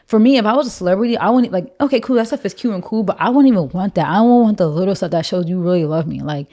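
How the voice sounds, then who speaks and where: A young woman with a deep voice, co-hosting a casual podcast chat, animated and full of fillers. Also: racing. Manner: animated, lots of "like", mocking voices. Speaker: podcast co-host.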